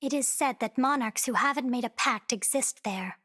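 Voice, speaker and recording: Devoted game girl: fairly high voice, formal and earnest, quietly possessive. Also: moderate pace. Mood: matter-of-fact. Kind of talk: anime game dialogue.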